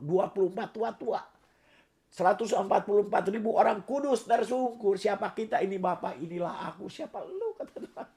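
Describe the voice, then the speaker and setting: Hoarse middle-aged guy preaching, gravelly worn-out voice, goes quiet then loud. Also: high voice. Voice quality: hoarse, raspy voice. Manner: swings between soft asides and loud emphatic declarations. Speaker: pastor. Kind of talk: sermon.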